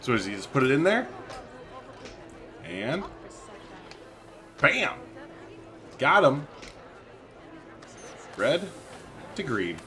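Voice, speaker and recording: A man's voice: medium pitch, sing-song, very slow, pauses often, sharp tone, some chatter behind. A man doing casual let's-play commentary, chatty and informal, thinking aloud as he plays. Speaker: gaming video host. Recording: faint background noise.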